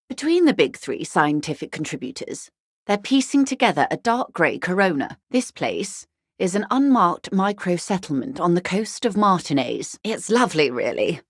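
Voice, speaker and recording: A woman playing a wry, urbane, bourgeois negotiator in a video game, speaking in a measured, genteel, faintly ironic way. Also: lowish voice, no echo, clean studio sound. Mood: composed, explanatory. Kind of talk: video game character dialogue.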